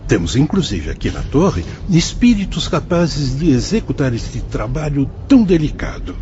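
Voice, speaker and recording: A man with a Brazilian Portuguese accent plays a calm, wise male spirit instructor who explains things patiently in a radio drama. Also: a little high, moderate pace, background noise. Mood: patient, instructive. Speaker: spirit guide explaining the colony. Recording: dull, muffled sound.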